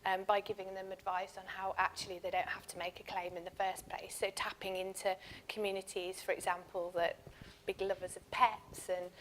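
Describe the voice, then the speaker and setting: A woman's voice: mid-range voice, level tone, moderate pace, fairly bright tone, slight background noise, poor audio. A woman speaking on an industry panel in a packed conference room, candid and earnest. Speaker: panelist from an insurance firm. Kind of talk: panel discussion answer.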